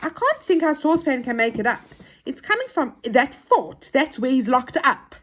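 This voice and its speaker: A woman's voice: high voice, big pitch swings, moderate pace, slight background noise. A woman voicing an eager, loyal young boy in a children's storybook, forthright and full of exclamations. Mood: excited.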